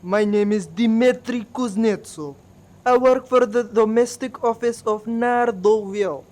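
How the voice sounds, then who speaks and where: A man's voice: sits high, a little mellow. A man playing an unmasked Russian spy villain in a comic radio play, confessing in a theatrical, taunting manner.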